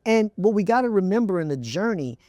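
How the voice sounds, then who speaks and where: A man's voice: high-pitched, very animated, a little fast, darkish tone, no room sound. A middle-aged man from Texas with an American accent answers conversationally as an interview guest, repeating phrases for emphasis. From Texas, United States. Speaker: chief executive, interview guest. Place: indoor event interview set. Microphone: lapel mic.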